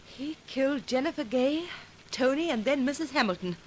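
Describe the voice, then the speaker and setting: Sleuth's loyal lady friend, old-time radio, high pitch, earnest, inquisitive. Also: lively intonation, moderate pace. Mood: curious, tender. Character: anxious, devoted female companion of a detective. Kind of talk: 1940s radio acting. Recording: faintly noisy vintage 1940s radio recording.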